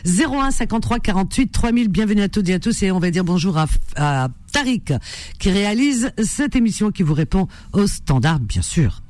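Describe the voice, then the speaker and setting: A woman's voice: medium pitch, expressive, brisk, dry recording. A woman from Algeria hosting a radio classified-ads show, chatting with lots of fillers and repetitions. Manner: warm chatty delivery with many fillers and repetitions. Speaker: radio host.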